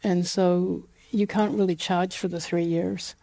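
A woman's voice: fairly low pitch, unhurried, vocal fry. A middle-aged woman from New Zealand with a Kiwi accent, a guest talking casually in an interview, with loose fillers and restarts. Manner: relaxed, frequent fillers and restarts, light laughs. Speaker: guest, genetic genealogist.

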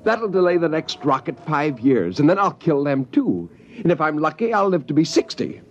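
A man's voice: fairly high pitch, big pitch swings, moderate pace. A man acting in an old-time radio drama, playing an embittered, idealistic spaceman turned killer with a brooding, intense manner. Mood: bitter, resolute. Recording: muffled, noisy vintage radio recording.

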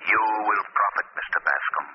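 An actor playing a stern unseen male avenger, questioning with slow menace in old-time radio drama style. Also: deep voice, lo-fi sound, over music, close to an everyday voice. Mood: stern, menacing. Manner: menacing, deliberate interrogation. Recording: noisy, band-limited old radio recording.

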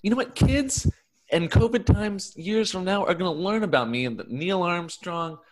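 A man's voice: sits high, lively intonation, normal speed, voice pushed up, low-quality recording. A man playing a self-important, boastful diner customer, pompous and insistent, in improvised comedy. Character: self-important, boastful breakfast diner craving historic glory.

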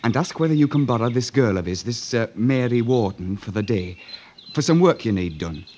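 A man's voice: medium pitch, lively intonation, moderate pace, a little mellow, lightly acted. An actor playing a young city fireman, earnest and scheming, persuading a little hesitantly in an old-time radio drama. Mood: urgent persuading. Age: young adult. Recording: faintly noisy old radio recording.

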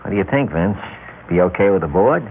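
Low, lively mobster, tinny radio. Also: male voice, brisk. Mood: coolly probing. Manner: chuckling, teasing, casual tough talk. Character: genial but menacing mob money collector, smugly unhurried. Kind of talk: classic radio drama acting. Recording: tinny old radio broadcast, faint noise.

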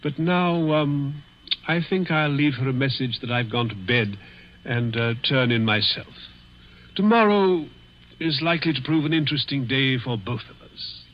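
An actor playing a gloating elderly villain with suave, mock-courteous, taunting manners, in 1940s radio suspense style. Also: male voice, medium pitch, moderate pace, lightly acted. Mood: silky menace. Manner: suave, hesitant, chuckling politeness with a taunting edge. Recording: muffled 1940s radio recording.

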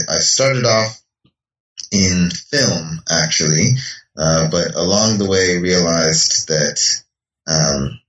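A man's voice: deep voice, fairly bright tone, lo-fi sound. A middle-aged man from Canada, a philosophy professor answering interview questions in a hesitant way, with many fillers and restarts. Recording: echoey, distant from the mic.

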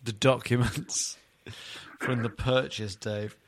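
A man's voice: mid-range voice, steady tone, slow. A man hosting a podcast in casual comic banter, teasing his co-hosts with mock bafflement. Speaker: podcast host.

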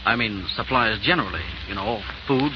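A man's voice: mid-range voice, brisk, close to an everyday voice. An actor playing a practical, wry male friend with a teasing, matter-of-fact manner in 1940s radio style. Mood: businesslike. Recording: noisy, muffled 1940s radio recording.

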